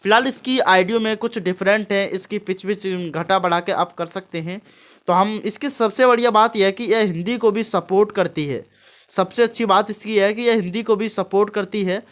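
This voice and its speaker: A man's voice: high-pitched, fairly bright tone. A man hosting an informal, step-by-step screen tutorial. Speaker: tutorial host.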